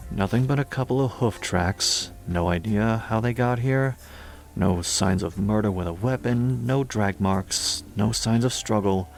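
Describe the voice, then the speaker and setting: Man acting as gravelly, low-voiced police detective. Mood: baffled. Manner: terse, clipped, brooding sentences. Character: puzzled, brisk male police detective in charge. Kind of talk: horror audio drama acting.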